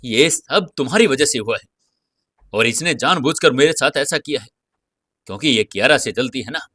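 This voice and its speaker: A man's voice: medium pitch, expressive, moderate pace, sharp tone, slightly rough, no echo, studio quality. A man playing a vain, easily flustered young man in an audio drama, speaking in dramatic exclamations. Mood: resentful.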